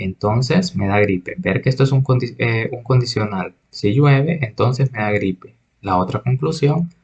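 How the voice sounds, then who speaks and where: A man's voice: medium pitch, darkish tone, lo-fi sound. A man teaching a recorded logic lesson, patiently reading examples and explaining them step by step. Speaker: math teacher.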